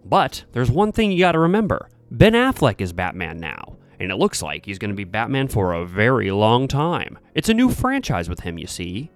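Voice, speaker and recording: Man doing a loud, slick Hollywood talent agent. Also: lowish voice, big pitch swings, normal speed, few pauses, no room sound, faint music behind. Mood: patronizing. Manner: brash showbiz patter, schmoozing, calls everyone baby. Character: slick, patronizing old-time male Hollywood talent agent.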